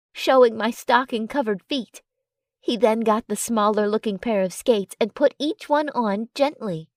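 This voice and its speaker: A woman reads a romance story with a highly animated, swooping delivery. Her voice sits fairly high, and the recording is dry and close, with an expressive storyteller's sense of drama. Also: no room sound. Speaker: audiobook narrator. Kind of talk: expressive first-person romance story reading.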